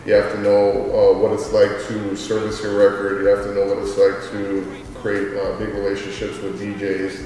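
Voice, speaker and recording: Deep flat-voiced man, distant and echoey. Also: normal speed, few pauses, slight background noise. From Queens, New York; raised in Los Angeles. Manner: rambling, many fillers and repeats. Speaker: music artist and label owner. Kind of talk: casual interview answers. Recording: distant mic in echoey reverberant space with crowd.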